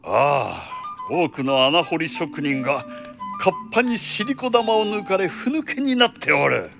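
An actor playing an imperious count in a radio drama, commanding and lordly in an old-fashioned way. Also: male voice, a little high, sing-song, unhurried, creaky, over music, heavily acted. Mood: grave, troubled. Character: imperious male count, senior government overseer. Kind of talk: Japanese radio drama acting.

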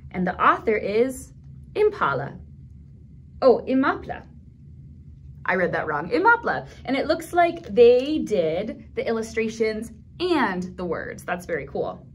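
A woman in her thirties leading a toddler storytime, speaking gently in simple phrases for small children as she reads aloud and comments. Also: on the high side, a little hiss or hum. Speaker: children's librarian, storytime host. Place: small indoor room at a library.

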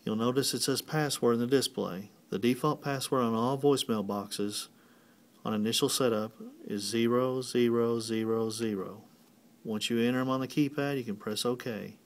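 Male tutorial presenter, medium pitch, plain and methodical, walking through instructions one step at a time. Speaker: technician giving a tutorial.

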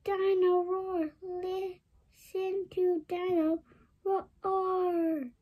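A boastful talking toy dinosaur, put on by a woman from a children's story. It chants its phrases in a high voice, stretching them out slowly. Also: flat delivery, narrow, tinny audio, full character voice. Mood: chanting. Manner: chanting, drawn-out.

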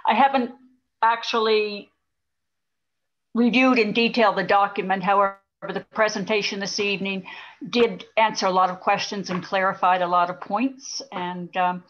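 Female meeting attendee, mid-pitched, measured and hesitant, slightly muffled lo-fi call sound. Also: little pitch movement, fairly bright tone. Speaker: member of the public at a meeting. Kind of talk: informal spoken comment. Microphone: video call. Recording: dull lo-fi remote call audio.

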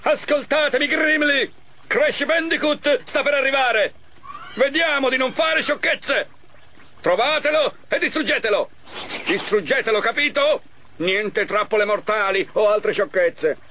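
A man voicing a vain mad-scientist villain in an animated game, theatrical, smug and mocking. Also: very high-pitched, a little slow, a little hiss or hum, full character voice. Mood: commanding. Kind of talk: animated game cutscene dub.

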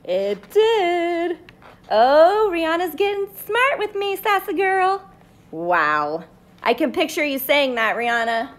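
A woman in her thirties reading a storybook aloud live to children, playfully chatting to them in expressive asides. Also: very high-pitched, slow pace. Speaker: teacher reading aloud to pupils. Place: living room at home, indoors.

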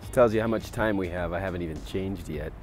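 An American man in his thirties narrating a casual fishing vlog outdoors on a frozen lake, filmed on a handheld phone and at times hushed to a murmur. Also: deep voice, fast talker, music in the background. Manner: easygoing, drops to a hushed murmur while landing a fish. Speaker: fishing vlogger. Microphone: handheld phone camera.

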